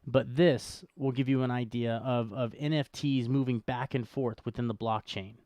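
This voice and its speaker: A man with an average-pitched voice talks as the host of a live stream. He explains things conversationally and without a script. The sound is dry, with very little room on it. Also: fairly even intonation, moderate pace, darkish tone, no room sound. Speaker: podcast host.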